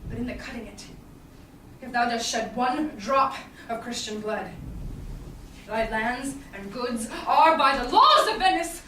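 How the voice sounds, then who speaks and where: Woman as stern stage judge, formal declamation, bright voice, roomy noisy recording. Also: a little high, animated, unhurried, far from the mic. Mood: commanding. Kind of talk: Shakespearean staged reading. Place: small indoor theatre stage. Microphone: distant audience camera.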